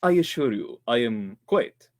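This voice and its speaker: A man's voice: medium pitch, lively intonation, close to an everyday voice. Man acting a formal, theatrical radio-thriller villain. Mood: polite menace. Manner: formal, deliberate phrasing. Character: menacing polite doctor villain, really a panicking radio actor.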